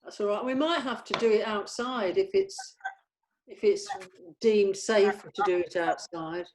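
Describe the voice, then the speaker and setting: A woman talks casually among colleagues. Her pitch is middling, and she comes across as an organiser going over arrangements in conversation. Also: expressive, unhurried, a little mellow, slight background noise. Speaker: production organiser at a table read. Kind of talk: casual meeting discussion.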